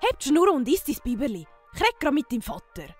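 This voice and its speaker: A woman's voice: sits high, sing-song, quick pace, soft background music. A woman playing a teasing, nagging wife and embarrassing mother in a comic audio drama, scolding with a Swiss German accent. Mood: exasperated. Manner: scolding, provoking.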